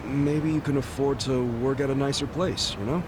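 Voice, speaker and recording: An actor in an English game dub playing a casual, good-hearted street tough with an informal, blunt manner. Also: male voice, mid-range voice, steady tone, normal speed, lightly acted. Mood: friendly, encouraging. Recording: noisy urban ambience with vehicles.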